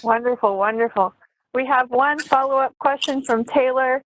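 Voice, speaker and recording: A woman's voice: high voice, normal speed, low-quality recording. A woman moderating a panel discussion, introducing speakers and thanking them. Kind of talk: event hosting, thanking panelists.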